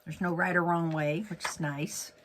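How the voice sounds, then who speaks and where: A man with a head cold, sounding raspy and clogged up, chatting upbeat through a card-making demonstration as host. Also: on the high side, little pitch movement, normal speed, very noisy. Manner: chatty and upbeat, with many fillers and self-corrections. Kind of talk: casual card-making tutorial.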